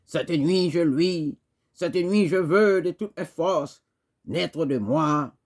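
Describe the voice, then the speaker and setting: A male poet delivers a dramatic recitation in a slightly high voice. His pace is very slow, with emphatic pauses between phrases. The manner is declamatory and impassioned.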